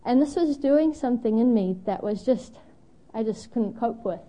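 Young woman preacher from Zimbabwe, warm mellow tone, slightly high, candid storyteller with lots of ums. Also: lively intonation, normal speed. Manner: candid, self-deprecating, frequent ums. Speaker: guest preacher. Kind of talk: storytelling sermon.

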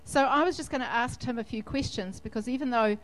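A woman's voice: fairly high pitch, brisk, fairly bright tone, poor audio. A woman leading a church service, who chats in a friendly way with a guest and leads a prayer.

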